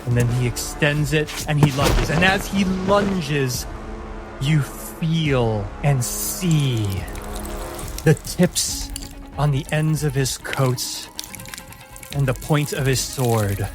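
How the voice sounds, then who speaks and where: Slow, dramatic male game master over music. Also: a little high. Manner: slow, deliberate, vividly descriptive storytelling. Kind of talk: dramatic narration of a tabletop fantasy combat.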